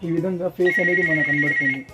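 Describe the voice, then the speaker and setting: A man's voice: a little high, fairly even intonation, quick pace. A man gives an informal, explanatory product demonstration, repeating points as he addresses viewers. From South India. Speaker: presenter for a security systems shop. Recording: dull lo-fi sound with music behind.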